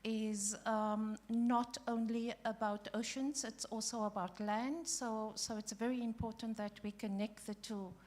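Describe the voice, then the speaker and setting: A woman chairing a conference panel, speaking haltingly with many restarts and fillers. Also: fairly high pitch, level tone, a little mellow. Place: conference hall.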